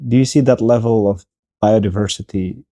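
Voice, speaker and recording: Host guy, low mellow voice, repeats words while asking stuff. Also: moderate pace, no room sound. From Indonesia. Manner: earnest, stammering restarts when asking. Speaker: podcast host. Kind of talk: interview questions.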